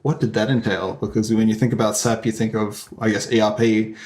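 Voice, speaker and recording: A man co-hosting a podcast, tossing in brisk, joking remarks and questions. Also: lowish voice, little pitch movement, a little mellow. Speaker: podcast co-host. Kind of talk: casual chat.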